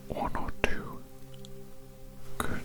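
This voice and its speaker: ASMR doctor roleplay: hushed whisper, unhurried delivery, formal and repetitive clinical wording. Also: loud music behind the voice. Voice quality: soft unvoiced whisper. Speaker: ASMR performer playing a doctor giving a hearing test.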